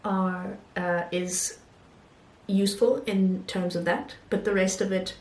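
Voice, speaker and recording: A woman's voice: mid-range voice, fairly even intonation, slow, darkish tone. Hesitant woman reviewing films, roomy sound. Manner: hesitant, many ums and restarts. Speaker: film vlogger. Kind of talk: casual film review talk.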